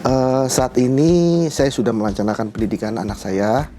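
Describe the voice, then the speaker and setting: A man's voice: mid-range voice, lively intonation, normal speed, over music. A man in his forties answering interview questions in plain conversational speech. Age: 40s. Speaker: interviewee, father of two.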